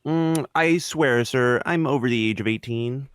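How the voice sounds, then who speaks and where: A man's voice: mid-range voice, unhurried, smooth, no echo. A young man co-hosting a podcast in casual chat, talking in a slangy, mildly profane way. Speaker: podcast co-host.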